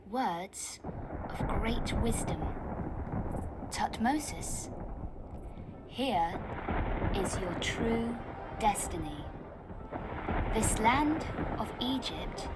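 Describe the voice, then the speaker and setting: A woman's voice: mid-range voice, very noisy. An actress playing a confident, wry young female adventurer, speaking in a cool, dry manner for a video game. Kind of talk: video game cutscene acting. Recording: game audio with loud music.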